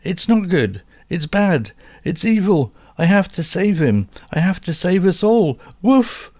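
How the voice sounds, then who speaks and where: Bobby the dog: very high, loud, frantic little female pup, as a male reader puts her on. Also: big pitch swings, a little slow, no room sound, studio quality, heavily acted. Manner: frantic yapping, barks aloud. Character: excitable young female pet dog.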